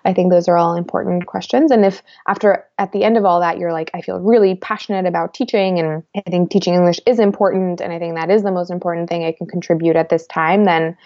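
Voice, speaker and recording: A young woman in her twenties, a guest in an interview, talking thoughtfully with many pauses, fillers and restarts. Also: lowish voice, steady tone, darkish tone, lo-fi sound. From United States. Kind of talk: reflective conversational interview.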